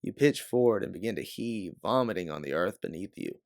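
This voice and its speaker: Low, gravelly male audiobook narrator. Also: lively intonation, fairly quick, a little mellow, no echo, clean studio sound. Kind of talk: second-person scripted adventure narration.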